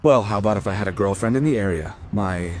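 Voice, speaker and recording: A man's voice: on the low side, quick pace, a little hiss or hum, natural voice. An actor playing a sardonic, suspicious man confronting a woman he knows in a crime radio play. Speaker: voice actor in a crime audio drama.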